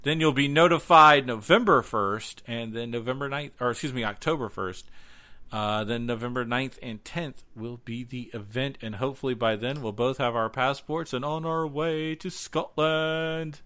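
A man's voice: mid-range voice, normal speed, pushed and bright. A man co-hosting a podcast, making casual announcements with jokey, playful asides. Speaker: podcast co-host.

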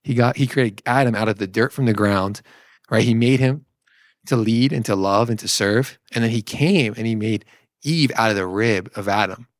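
A man's voice: lowish voice, normal speed, dry recording. A man giving conversational Bible teaching, explaining step by step and often checking in with a "right?". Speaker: discussion co-presenter teaching theology.

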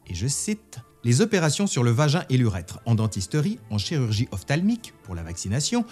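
A man presenting history in scripted narration, reading out quotations in an articulate, expressive way. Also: mid-range voice.

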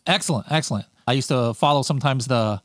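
A man's voice: medium pitch, quick pace, dry recording. A man hosting a podcast in casual interview chat, upbeat and talkative, with frequent restarts. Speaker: podcast host.

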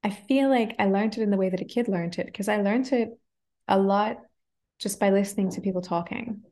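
Brief: woman guest, lively and self-correcting, mid-pitch conversational voice. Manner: lively, laughs often, talks through quick self-corrections. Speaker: interview guest, musician. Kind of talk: casual conversation.

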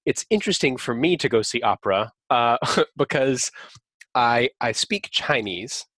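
A man's voice: medium pitch, lively intonation, measured pace, a bit bright, no room sound. A man hosting a podcast and chatting casually, rambling with frequent restarts and light laughs. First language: English. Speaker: podcast host.

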